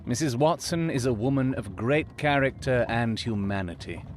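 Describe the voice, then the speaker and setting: Guy playing a brilliant proud victorian detective, very animated and theatrical, formal wordy gent, audio drama. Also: medium pitch, normal speed, slight background noise, close to an everyday voice. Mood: grave, tender.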